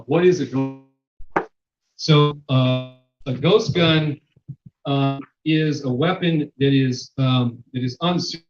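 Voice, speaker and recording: Luide spreker, mannelijk, lezing in zaal met galm, veel "uh" en herstarts, doffe opname. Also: male voice, mid-range voice, slight background noise. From Mexican-American. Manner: loud, many fillers and restarts. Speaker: sociologist presenter. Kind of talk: public lecture. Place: lecture room. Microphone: handheld microphone, then none. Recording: echoey hall, dull sound.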